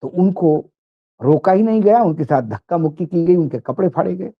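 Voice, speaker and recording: A man's voice: high-pitched, lively intonation, moderate pace, phone-quality sound. A man presenting political commentary to viewers in an emphatic, rhetorical way.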